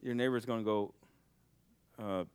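A young adult man preaching a sermon, swinging from loud, shouted exhortation to quiet, intimate passages. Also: on the low side, steady tone, measured pace, smooth.

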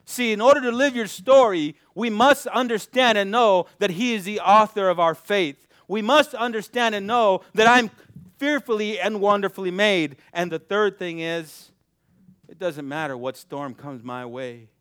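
A young adult man preaching a sermon, swinging from loud, shouted exhortation to quiet, intimate passages. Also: high voice, moderate pace, clean tone, no room sound.

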